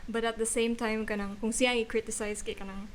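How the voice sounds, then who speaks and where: Female astrology reader, Filipino accent, higher pitch, hesitant and giggly explanatory chat. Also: flat delivery. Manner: halting, giggly. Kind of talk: casual explaining, code-switching.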